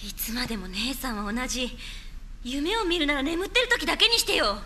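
An actress playing a worried, reproachful younger sister in an animated game drama, urgent and pleading. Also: female voice, high voice, brisk, a little hiss or hum. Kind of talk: dramatic Japanese anime game acting.

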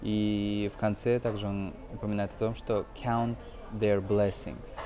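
A man's voice: lowish voice, level tone, a little slow, mellow. A man in his twenties giving an informal English lesson, explaining hesitantly phrase by phrase. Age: 20s. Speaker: English teacher. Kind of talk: informal film-based language lesson.

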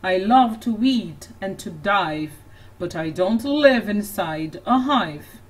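A woman's voice: medium pitch, animated, slow pace, distant mic, poor audio. A woman voicing a patient father who tells riddles to his daughter. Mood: playful.

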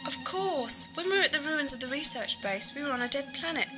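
Young female companion played by a woman, high-pitched, questioning, earnest, radio-play delivery. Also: animated, brisk. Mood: earnest, curious. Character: young, inquisitive female time-travelling companion. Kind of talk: audio drama acting. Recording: music behind amateur audio drama.

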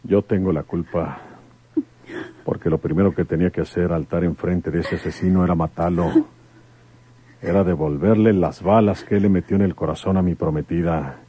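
A man's voice: low-pitched, normal speed, mellow, husky, dry recording. An actor with a Mexican accent playing a stern, probing rural detective and father in a radio drama, with rustic, insistent speech. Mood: bitter, resolute. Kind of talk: old-time radio drama acting.

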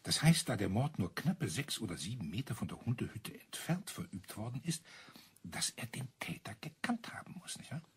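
A man playing a self-assured, wry colonel in a crime radio play, husky and breathy, teasing and savouring his account. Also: medium pitch, sing-song, normal speed, fairly bright tone, in a whisper, full character voice. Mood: amused relish. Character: wry, self-assured male colonel relishing a murder mystery.

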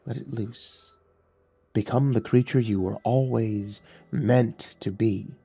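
Sneering male devil read by a man, cruel and taunting, mellow dark tone, soft music under. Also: medium pitch, steady tone, vocal fry, husky, exaggerated voice. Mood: coaxing. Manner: taunting, drawn-out menacing delivery. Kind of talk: audiobook character voice.

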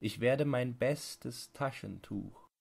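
A man voicing a disturbed, lonely man in an audiobook, consoling his dog in a whining, plaintive way with drawn-out words. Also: mid-range voice, creaky, darkish tone, slightly rough, pitched above the performer's own voice, exaggerated voice. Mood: anguished pity. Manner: slow, drawn-out, stammering consolation. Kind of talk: audiobook character dialogue.

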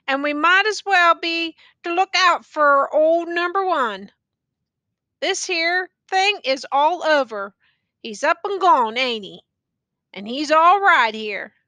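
A woman's voice: high-pitched, lively intonation, takes it slowly, pushed and bright, no room sound, pitched above the performer's own voice, heavily acted. A woman voicing a weary, ragged male soldier who talks in a rural dialect and jokes through his pain. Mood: musing. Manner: rural dialect, drawling and musing.

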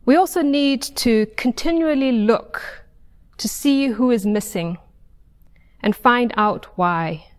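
A middle-aged woman with an American accent delivers a prepared sermon in measured, deliberate phrases with pauses. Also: a little high. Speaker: pastor preaching. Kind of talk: sermon, read from notes. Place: pulpit in a stone church sanctuary. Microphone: lapel mic. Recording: long church reverb.

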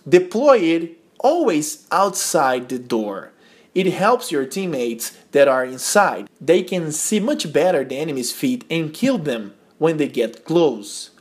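A young man from Brazil with a Brazilian Portuguese accent, narrating a game tips tutorial in careful, slightly halting English. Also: on the high side. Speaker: game tips video host. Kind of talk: scripted gameplay tutorial voice-over.

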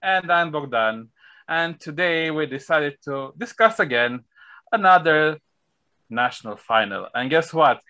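A man's voice: a little high, lively intonation, measured pace, bright, forceful tone, dry recording, dull audio. A man in his thirties hosting a panel review over a video call, reading out the running order and stumbling on foreign names. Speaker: host. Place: home room with shelves. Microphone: webcam on video call.

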